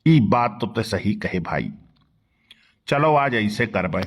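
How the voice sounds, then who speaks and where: Man doing a low grumbling henpecked cartoon uncle. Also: sing-song, slow, exaggerated voice. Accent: Awadhi. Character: henpecked older village uncle, grumbling and resigned. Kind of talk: cartoon comedy dialogue.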